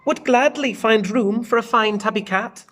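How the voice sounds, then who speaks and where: A man's voice: high-pitched, normal speed, a bit bright, voice pushed up. Man putting on a kindly female storybook cat. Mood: helpful, proud.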